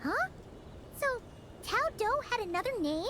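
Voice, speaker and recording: A woman voicing a small, chatty, excitable childlike companion in a video game. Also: extremely high pitch, moderate pace, slight background noise, heavily acted. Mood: excited, curious. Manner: exclamatory, chatty, refers to herself in third person. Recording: game dialogue with loud background music.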